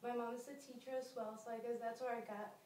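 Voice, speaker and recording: Woman from costa rica, higher voice, lots of ums, sounds far off in an echoey room. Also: level tone, fast talker, a little mellow, a little hiss or hum. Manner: hesitant, many ums. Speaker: job applicant. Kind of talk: mock job interview.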